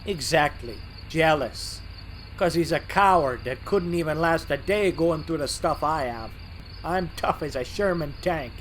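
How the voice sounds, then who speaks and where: A man's voice: fairly high pitch, a little slow, fairly bright tone, slight background noise. A man playing a boastful, grouchy, easily offended switcher locomotive in a fan audio drama. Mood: indignant, boastful. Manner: brash, indignant, boastful.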